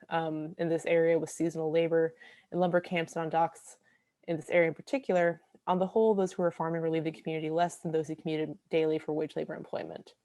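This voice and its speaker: Young woman researcher, lowish mellow voice, careful explanatory slide talk, dry sound. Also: no echo. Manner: explanatory, careful, with frequent ums. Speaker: graduate researcher presenting.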